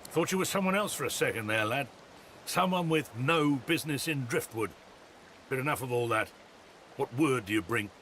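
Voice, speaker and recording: Man acting a bright, forceful older guard. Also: mid-range voice, lively intonation, normal speed, slight background noise, close to an everyday voice. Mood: brisk, wary. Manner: brusque, commanding, colloquial. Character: gruff older male town guard, bossy, watchful. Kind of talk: video game character acting.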